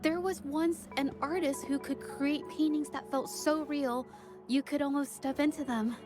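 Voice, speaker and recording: Timid young woman, game character, high voice, halting and earnest, soft-hearted, music behind. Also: fairly even intonation. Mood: earnest, wistful. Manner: hesitant, apologetic, halting. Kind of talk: video game voice acting.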